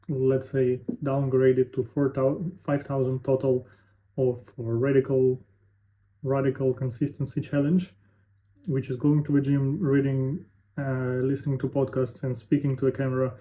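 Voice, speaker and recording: Musing young man, tinny lo-fi sound. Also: mid-range voice. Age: 20s. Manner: musing, halting, thinking aloud with fillers. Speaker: vlogger. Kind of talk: unscripted talking to camera.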